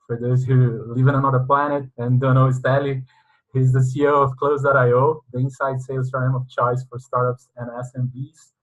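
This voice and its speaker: A man's voice: medium pitch, muffled recording. A man hosting an interview, giving formal introductions and asking long questions. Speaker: interviewer, sales consultant.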